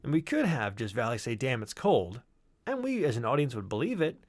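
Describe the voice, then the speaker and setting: A man's voice: medium pitch, big pitch swings, fairly quick. A man presenting as a sound designer, talking through his work in a reflective, conversational way. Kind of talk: informal explanatory walkthrough on a livestream.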